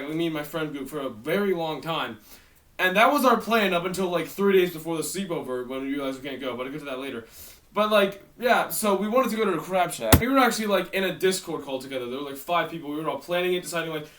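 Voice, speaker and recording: A man's voice: a little high, fairly bright tone, recorded from a distance. A teenage boy from Maryland with a worn-out, tired voice, rambling through a casual storytime vlog with self-mocking asides. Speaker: YouTuber.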